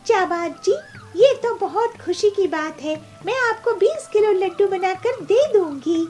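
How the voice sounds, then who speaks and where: A kind, devout little bird from a children's cartoon, acted by a woman. The voice is very high and lively, with an earnest, pleading manner and unhurried delivery. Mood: polite, pleased. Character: kind devout female bird who sells sweets. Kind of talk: Hindi children's cartoon dubbing.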